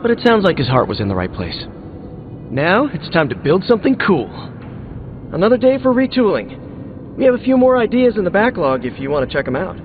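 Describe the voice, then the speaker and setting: An actor playing an upbeat, friendly young tinkerer hero in a video game, chatty and encouraging. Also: male voice, sits high, phone-quality sound, a little hiss or hum. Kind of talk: video game dialogue acting.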